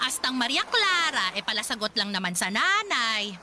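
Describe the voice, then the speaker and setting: Radio drama mother: middle-aged, defensive, sarcastic, high-pitched and very animated. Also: moderate pace, a little hiss or hum, exaggerated voice. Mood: sarcastic, dismissive. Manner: scolding, sarcastic, melodramatic. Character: brash, defensive middle-aged mother smitten with younger boyfriend. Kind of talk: Tagalog radio drama acting. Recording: loud music bed behind the dialogue.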